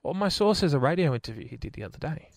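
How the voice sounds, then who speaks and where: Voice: medium pitch, animated, racing, gravelly, no echo, clean studio sound. A radio co-host delivering news in a teasing, matter-of-fact way. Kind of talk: casual radio banter.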